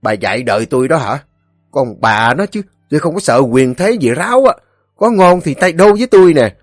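A man voicing a belligerent, boastful village drunkard in a storybook, cursing roughly with a Southern Vietnamese accent. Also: mid-range voice, lively intonation, normal speed, a bit bright, no room sound, studio quality, faint music behind. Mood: furious, threatening. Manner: blustering, cursing, rough rural speech.

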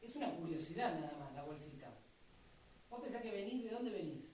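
A woman's voice: lowish voice, lively intonation, brisk, a little mellow, slight background noise. Echoey woman coaching a rehearsal. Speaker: radio drama workshop director. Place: workshop room. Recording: echoey room.

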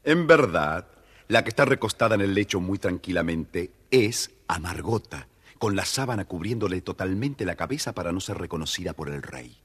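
A man's voice: low voice, expressive, normal speed, a bit bright, breathy edge. A man narrating a children's story dramatically, addressing his young listeners directly.

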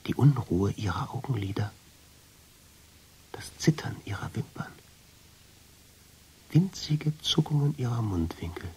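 A brooding, lovestruck man telling his own story in a radio play. His deep voice is very husky and creaky, and he narrates with deliberate dramatic intensity. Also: a little mellow, exaggerated voice, rough recording. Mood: hushed, tender. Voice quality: husky, gravelly, often sinking into whisper. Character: brooding, lovestruck male first-person narrator.

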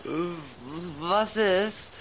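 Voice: mid-range voice, expressive, drawn-out words, fairly bright tone, a little hiss or hum, full character voice. A young performer playing a polite, nervous but cheeky boy in a radio play, hesitant and evasive. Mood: innocent, defiant. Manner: hesitant, stammering, sly. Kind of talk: German radio play acting.

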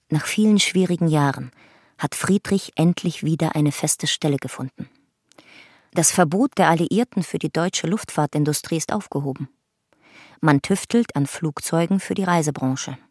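An actress narrating a radio drama as a family chronicler, factual and composed with dry irony. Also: female voice, sits low, a little mellow, slightly rough, no room sound, heavily acted. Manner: factual, composed, dryly ironic. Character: matter-of-fact, dryly ironic chronicler of a family.

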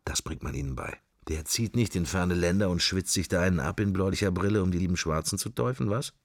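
Radio drama inner monologue: wry, irreverent observer, deep and gravelly, thinking in musing fragments. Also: male voice, fast, no echo, clean studio sound. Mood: wry, speculative. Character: wry, musing male sceptic, inner thoughts while observing church.

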